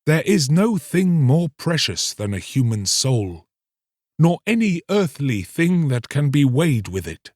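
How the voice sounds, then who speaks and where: A man's voice: a little high, very animated, a little slow, a little mellow, no room sound, studio quality, pitched above the performer's own voice. A man voicing an alarmed, solemn priest in a storybook, exhorting in grave, fatherly, archaic speech. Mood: solemn, admonishing.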